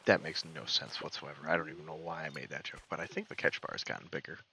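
Deep-voiced man with a soothing, joking drawl. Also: fast talker, vocal fry, a little hiss or hum. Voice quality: soft, soothing. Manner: relaxed, joking, mumbles asides softly. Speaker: gaming streamer. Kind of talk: casual gameplay commentary.

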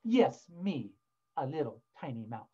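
A little storybook mouse, played by a man, quiet and loyal. The delivery is soft and unhurried, cautious but plucky, as in a tale for children. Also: mid-range voice, very animated, breathy edge, lo-fi sound.

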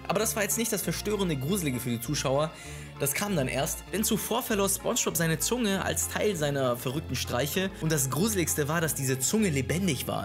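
A man, narrating a scripted countdown in a casual YouTube host style. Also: fairly high pitch. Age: 20s. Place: small room at home. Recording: music under the voice. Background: background music.

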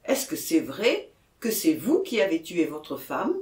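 A man's voice: fairly high pitch, sing-song, a little slow, darkish tone, roomy sound. A man doing a jovial, breezy country doctor. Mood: jovial teasing. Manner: teasing, casually questioning.

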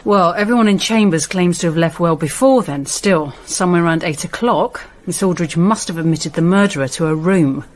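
An actress playing an efficient British police detective in a radio play, speaking briskly and factually. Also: female voice, fairly low pitch, lightly acted. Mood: businesslike.

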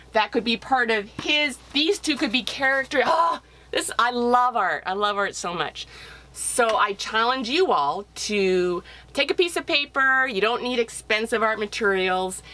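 A woman's voice: on the high side, sharp tone. A middle-aged woman giving an improvised drawing lesson for children, thinking aloud in a playful, encouraging way as she draws. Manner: playful, encouraging, talking in bursts while drawing. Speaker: children's author-illustrator giving a drawing demonstration.

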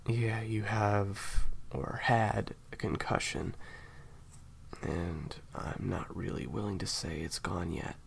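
A man plays a caring, awkward handler in an intimate audio roleplay, tending an injured partner. His voice is on the low side and gravelly, with hesitant, soft-spoken phrasing. A clear background noise sits behind him. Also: steady tone, slow pace, heavily acted. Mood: concerned, gentle. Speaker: voice actor. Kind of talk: intimate audio roleplay acting addressed to the listener.

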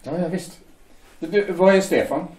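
A man's voice: high voice, darkish tone, roomy sound, natural voice, low-quality recording. An actor playing an anxious, deferential male shipyard foreman. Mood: agreeable. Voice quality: deferential, hesitant. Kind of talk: audio drama acting.

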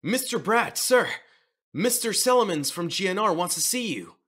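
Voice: mid-range voice, lively intonation, moderate pace, fairly bright tone, voice pushed up. A performer voicing an eager, proud and devoted young henchwoman in a manga audio drama, insistent in manner. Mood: urgent.

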